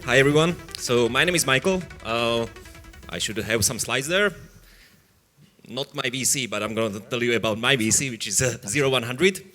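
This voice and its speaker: Slovak guy doing a casual vc pitch on stage, slovak accent, mid-pitched voice. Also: normal speed, sharp tone, faint music behind. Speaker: venture capital investor.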